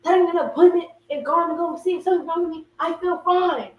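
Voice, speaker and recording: A young woman co-hosting a casual podcast chat, expressive and quick to laugh. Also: extremely high pitch, dull audio. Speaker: podcast co-host. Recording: roomy echo, far from mic, faint hum.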